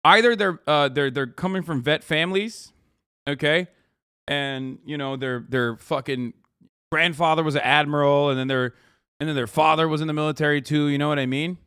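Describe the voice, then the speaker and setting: A man's voice: on the high side, fairly bright tone, clear, resonant tone, dry recording, studio quality. A man in his thirties from Turkey, ranting casually and profanely while reacting to clips as a streamer. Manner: profane, emphatic, rambling with restarts.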